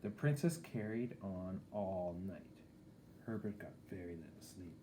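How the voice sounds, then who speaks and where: A man's voice: sits low, lively intonation, measured pace, mellow, a little hiss or hum. A man reading a picture book aloud expressively, with casual asides to a young listener.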